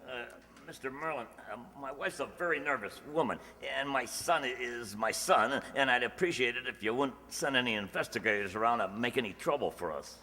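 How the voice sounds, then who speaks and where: A man's voice: medium pitch, brisk, few pauses, sharp tone, close to an everyday voice. An actor playing a meek, nervous man who stammers hesitantly in an old-time radio comedy. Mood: nervous, halting. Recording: reverberant hall, stage radio play.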